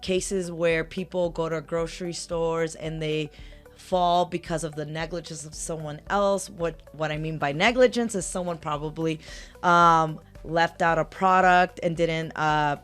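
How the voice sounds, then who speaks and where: A woman's voice: sits low, a bit bright, clean tone. A woman lawyer from Los Angeles whose first language is Spanish, answering interview questions in a chatty way, with many fillers and self-corrections. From Los Angeles, California. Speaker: personal injury attorney, guest.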